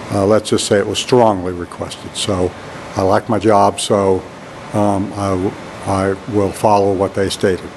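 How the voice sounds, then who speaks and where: A man teaching networking, talking in a deep monotone and often saying "all right" as he walks a handheld camera through an empty computer lab. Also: slight background noise, rough recording. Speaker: networking instructor. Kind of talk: casual classroom tour walkthrough. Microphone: handheld camera mic while walking.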